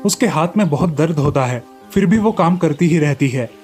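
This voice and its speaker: A man's voice: fairly high pitch, animated, normal speed, darkish tone, soft background music. A man storyteller reading scripted narration for a children's moral tale.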